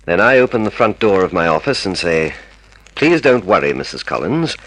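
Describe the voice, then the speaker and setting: A man's voice: low voice, sing-song, moderate pace, a bit bright, no room sound, exaggerated voice, poor audio. A man acting in a radio drama as a cold, scheming murderer, methodical and commanding. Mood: calculating, smug. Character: cold, calculating murderous male dentist, secret lover, scheming.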